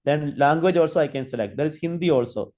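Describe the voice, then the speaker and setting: A man giving an online training lecture, halting, with many fillers and restarts while he demonstrates. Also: on the high side, darkish tone. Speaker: guest lecturer.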